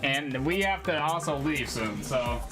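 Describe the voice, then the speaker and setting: Man, livestreamer, casual chat, slangy and jokey, swearing, mid-pitched voice, prominent music behind. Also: expressive, unhurried. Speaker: livestreamer playing a visual novel. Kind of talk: casual livestream chat with game dialogue read aloud. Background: game soundtrack music.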